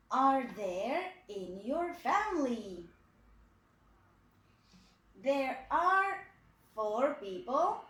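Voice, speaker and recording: A woman in her twenties from Poland, teaching English to young children in a video lesson, repeating each phrase slowly for them to copy. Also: high voice, fairly bright tone, reverberant. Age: 20s. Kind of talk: slow, clearly spoken video lesson for kids.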